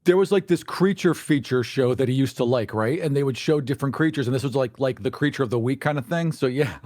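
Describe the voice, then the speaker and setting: A man's voice: medium pitch, quick pace, a little mellow, dry recording, studio quality. A man in a casual podcast chat, joking and giggling often.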